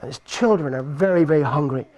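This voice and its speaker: A man telling a folk tale aloud in a live puppet show, with lively, repetitive phrasing. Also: fairly high pitch, fast. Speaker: storyteller.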